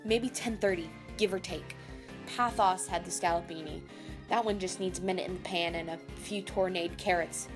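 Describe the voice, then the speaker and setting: A woman playing a brash, hot-tempered chef in an audio drama, gruff and sarcastic, cursing mildly in food words. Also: on the low side, normal speed, close to an everyday voice. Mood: irritated. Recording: music behind the voice.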